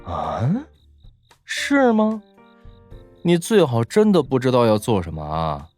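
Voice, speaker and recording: A man's voice: mid-range voice, wildly swooping pitch, takes it slowly, a little mellow, faint music behind. A man playing a shrewd, teasing young male college student in an audio drama, playful and mock-suspicious.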